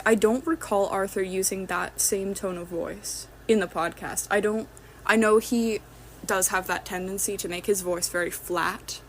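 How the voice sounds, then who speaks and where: A woman leaving a casual, rambling fan voice message, speaking with intense, heartfelt emphasis. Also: mid-range voice. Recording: clear background noise on voice message.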